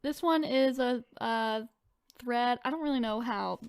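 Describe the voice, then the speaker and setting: A woman co-hosting a casual podcast, chatting and reacting to stories with animated exclamations. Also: fairly high pitch, measured pace, clear, resonant tone, no echo, studio quality. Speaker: podcast co-host.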